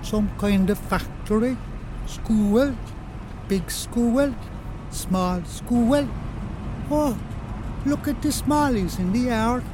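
An actor playing an odd, childlike older man in a radio drama, with an Irish accent and a halting, clipped way of talking. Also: very high voice, background noise, heavily acted. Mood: dreamy, matter-of-fact. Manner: halting, naming things in clipped single words.

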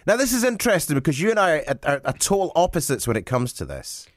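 A man's voice: a little high, sing-song, quick pace, no room sound, studio quality. A Scottish man co-hosting a casual chat, bantering and asking questions. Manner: laughs often, warm banter. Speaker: radio co-host.

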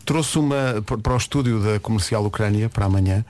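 A man's voice: lowish voice, quick pace, darkish tone. A man hosting a radio show in a studio, joking and chatting with guests. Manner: jokey, laughing. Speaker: radio host.

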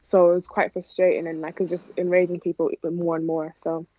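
A woman's voice: on the low side, level tone, fast, dark tone, no echo. Young adult Irish woman, informal interviewee, casual speech full of "like", dry and slightly muffled lo-fi sound. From Ireland. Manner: informal, frequent "like". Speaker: interview guest, athlete. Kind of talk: casual conversational interview answers. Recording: dull, lo-fi audio.

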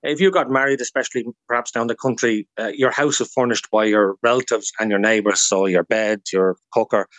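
A man's voice: lowish voice, steady tone, fairly quick, hardly any pauses, fairly bright tone, no echo. A man with an Irish accent talking as a guest historian in a radio interview, explaining things informally through anecdotes.

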